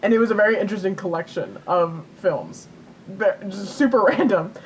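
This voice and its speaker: A young woman co-hosting a podcast, telling stories casually in a giggly, animated way with easy swearing. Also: normal speed, poor audio. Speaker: podcast co-host. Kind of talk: casual chat, storytelling. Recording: rumbly background noise.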